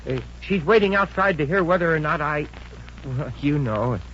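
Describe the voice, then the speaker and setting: An actor playing an earnest, excitable young fiancé in a 1950s radio comedy, with a boyish manner. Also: male voice, on the high side, normal speed. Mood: eager. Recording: dull, noisy old radio recording.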